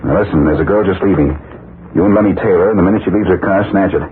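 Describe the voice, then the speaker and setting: An actor playing a smooth, scheming loan company boss in a 1940s radio drama, with measured, businesslike politeness. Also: male voice, sits low, a crowd in the background, close to an everyday voice. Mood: brisk, scheming. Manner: polite, measured, hesitant-sounding businessman's patter. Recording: noisy, tinny 1940s radio recording.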